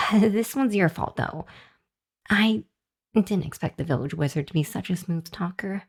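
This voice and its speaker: A woman voicing a shy, earnest young hellhound demon girl in an ASMR roleplay, speaking to the listener in a hesitant, flustered, halting way. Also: fairly low pitch, breathy edge. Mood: flustered, bashful. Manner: hesitant, flustered, halting with sighs and nervous chuckles.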